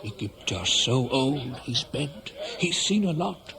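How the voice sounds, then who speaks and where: An actor playing a knowing storyteller who narrates a 1970s radio drama with conversational asides. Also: male voice, mid-range voice, very animated, slow, crowd noise. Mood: wry. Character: knowing, digressive male storyteller.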